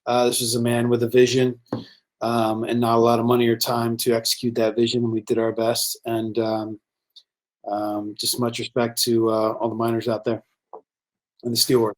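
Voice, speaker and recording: Male panel guest, flat intonation, mid-range pitch, humble, hesitant, earnest. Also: normal speed. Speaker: film camera operator, panel guest. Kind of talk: earnest remarks on a live online panel.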